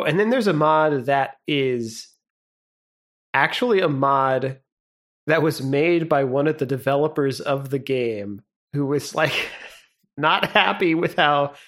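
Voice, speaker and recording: A young man co-hosting a podcast in casual chat, relaxed and rambling, laughing often. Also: medium pitch. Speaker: podcast co-host.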